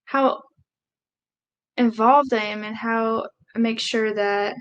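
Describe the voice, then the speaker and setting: A woman voicing a live sign language interpretation of a speech, halting, with pauses and self-corrections. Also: on the high side, steady tone, smooth, dull audio.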